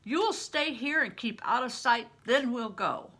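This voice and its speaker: A woman voicing a big, confident grown man in a storybook, gruffly fond and commanding. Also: a little high, lively intonation, unhurried, a bit bright, pitched above the performer's own voice. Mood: dismissive.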